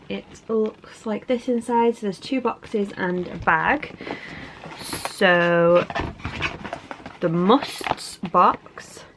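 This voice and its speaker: A woman's voice: on the low side, a little hiss or hum, rough recording. A young woman from England with a stuffy, congested cold, chatting casually through an unboxing with lots of likes and ums. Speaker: beauty vlogger.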